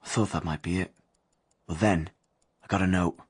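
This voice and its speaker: A man's voice: low voice, fairly even intonation, moderate pace, breathy edge, no room sound, studio quality. An actor playing a dreamy working-class young man, narrating his love story reflectively and colloquially in a radio drama.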